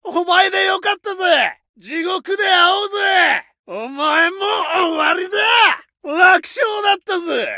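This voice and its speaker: A boastful, bloodthirsty young soldier in a war game, with a gravelly, very bright, high voice that swings all over. He shouts taunts at enemies, and the recording is dry and close. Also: animated, a little slow, no room sound, exaggerated voice. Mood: taunting.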